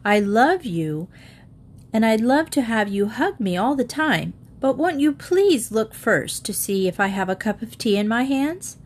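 A woman voicing a weary but patient mother who speaks gently to her daughter. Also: a little high, big pitch swings, unhurried, slight background noise.

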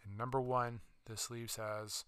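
Bright-voiced man hosting a sports podcast. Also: mid-range voice, flat delivery, unhurried, smooth, no echo, studio quality. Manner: casual, many fillers and restarts. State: slightly losing his voice. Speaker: podcast host. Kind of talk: solo sports podcast ranking.

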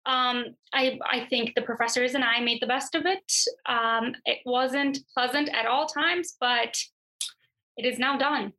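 Bright-voiced young woman from Turkey. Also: a little high, steady tone, a little slow, low-quality recording. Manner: chatty and thoughtful, with frequent fillers and restarts. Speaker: guest, journalist. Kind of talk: conversational interview answers.

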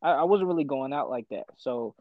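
A man in his twenties, born in Ethiopia, chatting casually as a podcast guest, slangy and quick to laugh. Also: mid-range voice, no echo, narrow, tinny audio. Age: 20s. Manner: relaxed, slangy, laughs often. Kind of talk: casual chat.

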